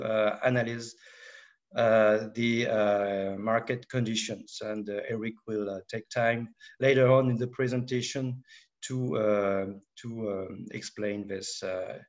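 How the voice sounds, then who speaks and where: A man giving a webinar presentation on market statistics. He speaks haltingly and fills nearly every pause with "uh". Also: mid-range voice, fairly even intonation, dull audio. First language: French. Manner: halting, with constant filler pauses. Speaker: market analysis director presenting.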